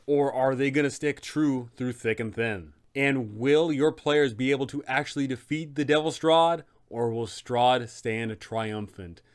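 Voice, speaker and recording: A man hosting a game master's guide, narrating in an emphatic, dramatic storyteller's style. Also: mid-range voice. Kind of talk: scripted, dramatic explanatory narration.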